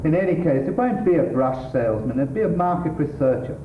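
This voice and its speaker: A man's voice: fairly high pitch, lively intonation, brisk, lightly acted. A man acting a sardonic, exasperated middle-class English husband who lectures his wife in a stage comedy. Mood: lecturing. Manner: exasperated, pedantic, dry sarcasm. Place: theatre stage. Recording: distant, echoey, tinny stage recording, hissy.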